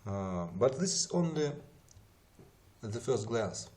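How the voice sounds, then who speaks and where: A man who is not a native English speaker narrates an explanatory software tutorial in a methodical, step-by-step way. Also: medium pitch, very animated, slow.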